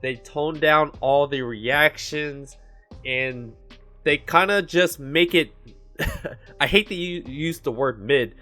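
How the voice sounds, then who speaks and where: A man talks through anime opinions in a loose, casual ramble, filling his sentences with "like" and "you know". His voice sits a bit higher than most men's, and he describes it as monotone. Soft music plays faintly underneath. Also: slow. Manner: chatty, leans on "like" and "you know"; calls his own voice monotone. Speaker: anime commentary host.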